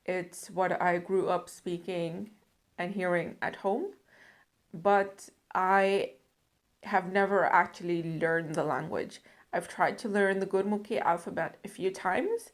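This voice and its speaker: A woman's voice: on the low side, fairly even intonation. A young woman from the Netherlands talking to camera about her language goals, earnest and reflective. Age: young adult. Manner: earnest, reflective, measured with some fillers. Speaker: language learning vlogger. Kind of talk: casual talk to camera.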